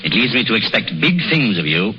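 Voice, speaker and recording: A man's voice: on the low side, sing-song, brisk, bright, forceful tone, heavily acted. A man playing a genial, fatherly senior doctor in a 1930s radio drama, encouraging and formal in his speech. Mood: warm, fatherly. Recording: dull, noisy 1930s radio recording.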